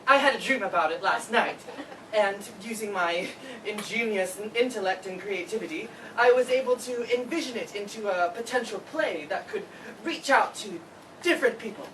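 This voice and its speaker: A woman's voice: mid-range voice, normal speed, a bit bright, distant mic, lightly acted. A woman playing an arrogant, flamboyant, brainy male student with an eager, self-important manner in a play reading. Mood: smug. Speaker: actor in a staged play reading. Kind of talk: live theatre play reading. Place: read before a live audience, far camera. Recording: echoey, noisy room from afar.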